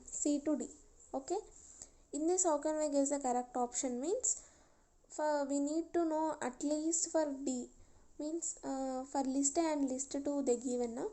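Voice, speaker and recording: A woman's voice: sits high, warm tone. A young woman, casually explaining exam questions step by step to her viewers. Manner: walks through each question slowly and patiently. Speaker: YouTuber sharing exam tips. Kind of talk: casual tutorial.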